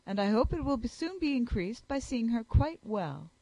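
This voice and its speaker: A woman reading aloud, voicing a cheerful, easygoing young gentleman in a hearty manner. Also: on the high side, big pitch swings, normal speed, no room sound, poor audio.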